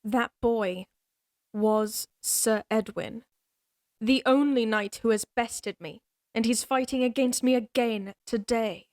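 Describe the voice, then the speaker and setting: A woman's voice: fairly high pitch, slow pace, a little mellow, no echo, clean studio sound. A woman playing a proud, teasing young lady knight in a fantasy audio roleplay, with formal, old-fashioned, dryly haughty phrasing. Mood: grave, determined. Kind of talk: fantasy audio roleplay acting addressed to the listener.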